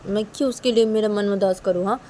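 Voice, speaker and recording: A woman voicing a heartbroken young person who softly asks for reassurance. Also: mid-range voice, quick pace, slight background noise, natural voice. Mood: hopeful. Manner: soft, seeking comfort.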